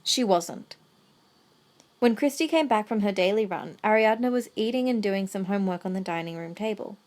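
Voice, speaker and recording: A woman serves as narrator of an audio drama. Her voice sits at a medium pitch as she reads scripted story passages. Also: expressive, normal speed, darkish tone.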